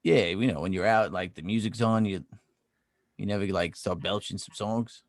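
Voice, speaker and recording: A man's voice: lowish voice, moderate pace, dry recording. A man co-hosting a podcast in casual chat, easygoing and teasing in his banter. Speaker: podcast co-host.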